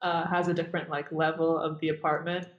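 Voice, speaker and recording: A woman's voice: sits low, little pitch movement, fairly quick, lo-fi sound. A young woman from Nazareth, a guest in a casual interview, talking hesitantly with many fillers and restarts. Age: young adult.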